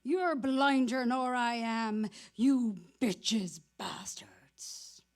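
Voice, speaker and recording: A woman's voice: on the high side, drawn-out words. Woman acting a bitter, cursing young blind man. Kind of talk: dramatic literary reading.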